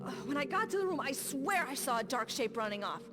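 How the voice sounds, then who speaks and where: A high-voiced shaken guard in a video game, her pitch swinging widely. She is agitated and emphatic, with music behind her. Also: big pitch swings, quick pace. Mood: shaken, uneasy.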